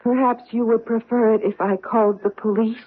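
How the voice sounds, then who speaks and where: An actress playing a guarded, proper married society woman with an American accent, brisk and defensive in a radio mystery drama. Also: fairly high pitch, flat delivery, husky. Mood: brisk, irritated. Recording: muffled narrow-band old radio broadcast.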